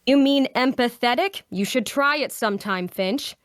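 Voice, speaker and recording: Actress as earnest female adventurer, morally insistent, higher voice, fantasy drama, dry sound. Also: normal speed, smooth, no echo, close to an everyday voice. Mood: indignant. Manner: indignant, pleading. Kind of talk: fantasy drama table read.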